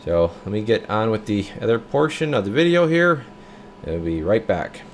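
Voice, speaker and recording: A man's voice: on the low side, big pitch swings, moderate pace, a little hiss or hum. A man hosting an informal hands-on demonstration, talking viewers through each step as he works, sometimes half muttering to himself. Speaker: host of a radio repair and test video channel. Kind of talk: casual hands-on demonstration, talking while working.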